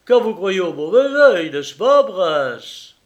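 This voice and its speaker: A man's voice: sits high, big pitch swings, takes it slowly, highs cut off, pitched above the performer's own voice, exaggerated voice. A man voicing a feverish, sardonic young man who speaks vehemently at a dinner party in a storybook reading. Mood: mocking.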